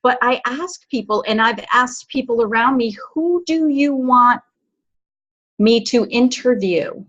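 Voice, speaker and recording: A woman with a slightly high voice hosts a casual panel, talking in a chatty way and restating her questions. The sound is dull and lo-fi. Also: a little slow, a bit bright. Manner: chatty, repeats questions for emphasis. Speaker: podcast host and panel moderator. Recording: lo-fi dull audio.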